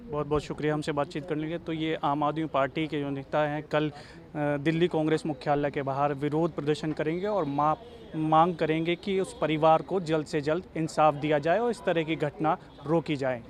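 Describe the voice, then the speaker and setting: Slightly high-voiced male field reporter. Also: steady tone, fairly quick. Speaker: TV news reporter.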